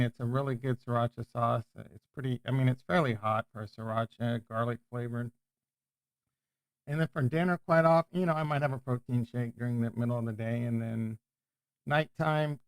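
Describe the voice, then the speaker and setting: Casual male streamer, smooth clear voice, dry close mic, rambling chat. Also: medium pitch, no room sound. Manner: rambling, self-correcting, chatty. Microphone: new desk microphone.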